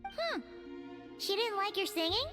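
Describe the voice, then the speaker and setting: A little floating game companion with a piping, childlike voice. She is blunt and chatty, with very animated intonation. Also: extremely high pitch, measured pace, over music, full character voice. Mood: curious. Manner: speaks of herself in third person. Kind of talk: video game voice acting.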